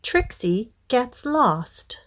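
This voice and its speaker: A woman narrating a children's storybook read-aloud in a deliberate way, addressing young listeners directly. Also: a little high, very animated, a bit bright.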